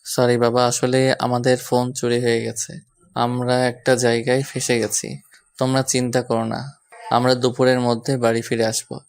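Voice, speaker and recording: A man's voice: mid-range voice, completely flat delivery, normal speed, vocal fry, close to an everyday voice. A young man playing an exasperated, bossy yet fond husband in a romantic audio story, with a scolding, sarcastic manner. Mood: apologetic, reassuring.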